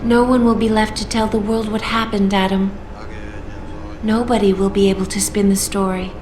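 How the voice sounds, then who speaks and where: A woman voicing a female artificial intelligence in a video game, speaking in a smooth, measured and coolly persuasive way. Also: mid-range voice, level tone, a little mellow, lightly acted. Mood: calm persuasion. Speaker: AI guiding the player through a choice. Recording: roomy game audio with clear music.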